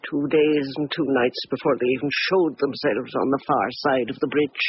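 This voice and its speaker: A witness looking back on a rebellion. She tells it in a measured, wistful and bitter way. The radio drama sound is dull, with faint noise. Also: very deep voice. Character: Irish woman recalling the rising, bitter, wistful. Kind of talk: reflective radio drama narration. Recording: dull, slightly noisy radio drama recording.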